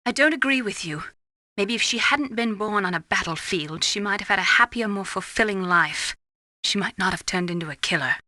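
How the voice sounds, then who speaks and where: A principled woman adviser in a video game, bright voice, dry close mic, earnest and caring. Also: mid-range voice, expressive, brisk, no room sound. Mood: gently disagreeing. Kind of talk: dramatic video game radio dialogue.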